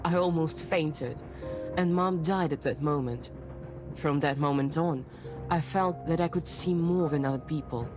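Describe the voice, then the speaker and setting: A proper self-assured young lady in a visual novel, kinda low voice, formal and composed, music under it. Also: a little slow. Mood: reflective, wistful. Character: well-bred, self-assured young lady detective telling her past. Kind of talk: visual novel voice acting, narrative dialogue.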